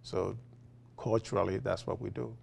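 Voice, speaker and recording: A man with a Liberian accent, a philosophy professor being interviewed, talking in a relaxed way with frequent fillers and chuckles. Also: fairly low pitch, moderate pace, creaky, breathy edge. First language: Liberian English. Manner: chuckling, many uh fillers and restarts. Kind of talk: conversational interview.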